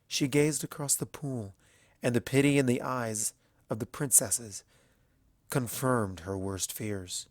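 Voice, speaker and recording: A storyteller with a medium-pitched voice reads dramatic fiction at a slow, deliberate pace. The recording is close and dry, with no room sound. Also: dry recording. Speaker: audiobook narrator of fan fiction. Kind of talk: scripted dramatic story narration.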